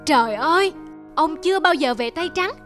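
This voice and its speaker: A woman's voice: high voice, animated, moderate pace, a bit bright. A woman voicing a practical, bossy old fisherman's wife in a cartoon folk tale, emphatic and nagging. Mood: scolding. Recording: music under the voice.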